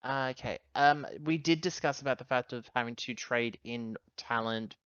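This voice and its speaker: A man's voice: mid-range voice, normal speed, fairly bright tone, smooth, no room sound, lo-fi sound. A man chatting casually as a player in a tabletop roleplaying game.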